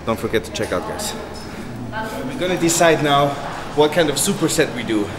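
A man's voice: fairly high pitch, animated, normal speed, background noise. A man, joking, cursing and coaching a training partner in a large indoor gym. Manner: cheerful, cursing, bantering coach. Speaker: bodybuilder vlog host. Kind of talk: casual gym chat and coaching. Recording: echoey gym room.

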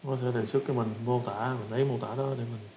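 A man with a Southern Vietnamese accent giving a casual software tutorial, often repeating short phrases as he demonstrates. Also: medium pitch, steady tone, quick pace. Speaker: tutorial instructor. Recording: roomy echo with background noise.

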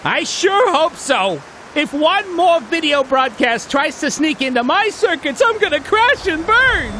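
A woman's voice: sits high, big pitch swings, measured pace, noisy background. A woman voicing a fretful, wisecracking little flying robot in a cartoon game. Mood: wary, wisecracking. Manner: comically fretful.

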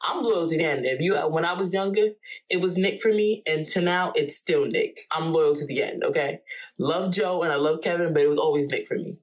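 Young woman, from New Jersey, casual reaction host, animated and chatty, small bedroom, close camera. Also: deep voice, fairly quick, low-quality recording. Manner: animated, chatty, hums and sings along.

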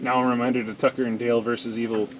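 A man's voice: mid-range voice, level tone, fast. A man behind the camera outdoors who makes dry jokes and asks curious questions. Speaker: person filming and asking questions. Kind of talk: casual joking chat. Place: outdoors in a wooded yard. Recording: outdoor sound, some distance from the mic, with background noise.

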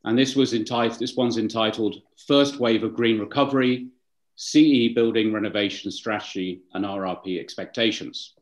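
A man's voice: fairly low pitch, fairly even intonation, normal speed. A man moderating a webinar on a video call, giving formal welcomes and introductions, speaking with some fillers and restarts.

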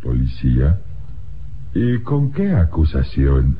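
Very deep, slow, sly villain. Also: male voice, very animated, heavily acted. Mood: mocking. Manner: halting broken Spanish, hesitant filler sounds. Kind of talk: classic radio drama acting. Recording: muffled, noisy old radio recording.

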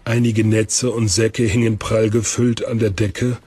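A man's voice: on the low side, flat delivery, unhurried, dark tone, husky, no room sound. A man narrating a fantasy audio drama as its scripted storyteller. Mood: measured. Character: male storyteller of a fantasy tale.

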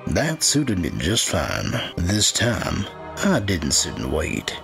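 An actor playing an ancient, menacing undead wight, telling his dark fantasy tale in a brooding, deliberate, theatrical way. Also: on the low side, creaky, heavily acted. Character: ancient undead body-stealing wight, cold, menacing, patient. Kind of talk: dark fantasy audio drama narration.

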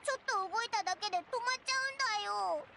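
Squeaky, brash little fairy. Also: female voice, rapid-fire, heavy background noise. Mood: excited. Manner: blunt, boyish wording, excitable. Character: tiny, brash, childlike floating fairy companion. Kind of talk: Japanese anime game voice acting.

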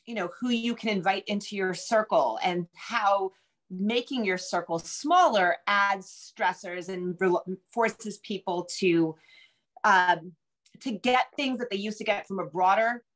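A middle-aged woman answering as a guest author, conversational and explanatory, often halting to rephrase herself. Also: medium pitch, a bit bright. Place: home room with bookshelves, indoors. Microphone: video call.

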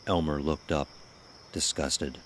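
A man's voice: extremely low pitch, fairly even intonation, takes it slowly, a little hiss or hum. A man narrating a scripted horror story as its storyteller.

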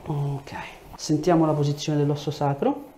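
A man's voice: a little high, steady tone, normal speed, dark tone. A man, working as a chiropractor, giving a patient short instructions, check-in questions and hands-on explanations. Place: small treatment room, indoors. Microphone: lapel mic. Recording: echoey room with background noise.

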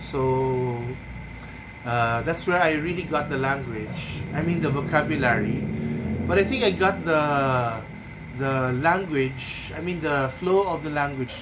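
A man in his thirties from the Philippines with a Filipino accent, a Cebuano native speaker, giving unhurried writing advice to a webcam in a small room at home. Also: fairly high pitch, background noise. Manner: unhurried, thoughtful, frequent ums and tag "no?". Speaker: writing coach. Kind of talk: casual advice talk to camera. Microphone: webcam at desk.

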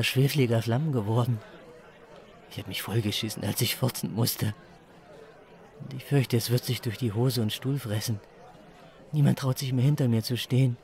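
A man's voice: mid-range voice, a little mellow, lightly acted. A man playing a crude, despairing chili judge who complains melodramatically in a comic story.

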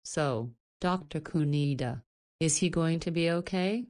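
A woman acting a protective guardian in a visual novel. Her voice is notably low for a woman, and she sounds firm, caring and motherly. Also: unhurried, darkish tone. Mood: relieved.

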